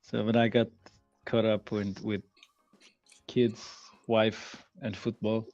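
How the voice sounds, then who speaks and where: A man's voice: lowish voice, level tone, a little mellow, faint music behind. Laughing Portuguese-native man hosting, dull sound. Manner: apologetic, laughing, halting with fillers. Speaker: podcast host. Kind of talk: casual live-stream chat.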